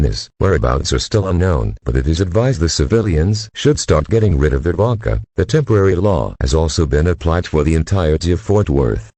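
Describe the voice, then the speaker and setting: Very deep, dark-voiced male emergency announcer. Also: slightly rough, dry recording. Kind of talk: scripted emergency broadcast announcement.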